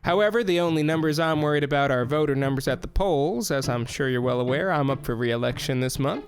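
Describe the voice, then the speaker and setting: An actor playing a male mayor who dodges questions with hesitant, smooth talk. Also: a little high, fairly quick, no echo, faint music behind, close to an everyday voice. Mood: evasive. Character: evasive middle-aged male mayor. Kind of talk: audio drama acting.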